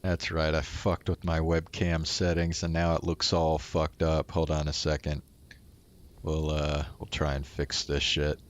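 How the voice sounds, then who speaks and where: Deep-voiced, slow-talking man streaming casually. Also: monotone. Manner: casual, sweary, muttering to himself. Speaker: livestream host. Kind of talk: casual livestream chat.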